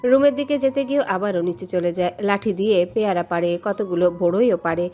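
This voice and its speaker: A woman's voice: medium pitch, fairly quick, warm tone. A woman reading a scripted romantic story as a dramatic narrator, with music behind her. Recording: music under the voice. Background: background music.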